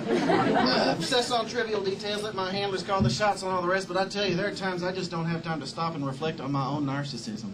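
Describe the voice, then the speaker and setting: A man acts out a shameless young country star with a high voice and a folksy Southern drawl. He rambles along, vain and pleased with himself. It is a live comedy sketch, with audience noise and a noisy background. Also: fairly quick, over a noisy crowd, close to an everyday voice. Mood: smug, folksy. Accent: American Southern. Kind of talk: live comedy sketch, mock celebrity interview.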